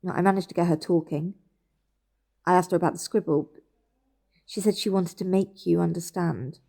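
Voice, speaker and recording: British woman, anxious and insistent, warm dark-edged voice, naturalistic radio play. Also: fairly low pitch, fairly even intonation, moderate pace, vocal fry. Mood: concerned, probing. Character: anxious, insistent woman questioning her relatives in hospital. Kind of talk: naturalistic audio drama acting.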